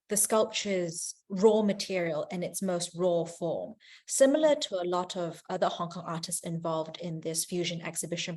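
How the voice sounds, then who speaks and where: A woman moderating an online artist conversation and interpreting for the speakers, fluent and measured with frequent ums. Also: on the low side. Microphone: video call.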